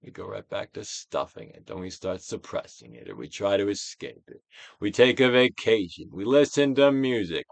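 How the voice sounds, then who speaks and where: A man giving a dramatic, theatrical reading of a self-help book, moving between hushed near-whispers and emphatic projection, with deliberate pauses. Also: on the low side, gravelly, no room sound. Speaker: audiobook reader, actor.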